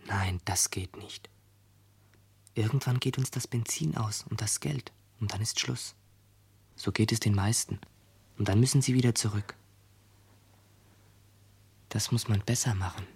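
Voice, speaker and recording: An actor playing a sober, disillusioned youth in a radio play, speaking in a guarded, matter-of-fact whisper. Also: male voice, lowish voice, a little slow, creaky, pauses often, a little mellow, husky, full character voice. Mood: sober, resigned. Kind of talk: 1970s German radio play acting.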